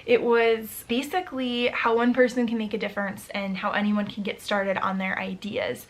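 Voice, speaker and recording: A young woman vlogging casually to camera, chatty and upbeat, her voice a little hoarse from overuse. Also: medium pitch, a bit bright. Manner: chatty, upbeat, with frequent fillers. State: slightly hoarse, losing her voice. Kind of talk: casual vlog talk to camera.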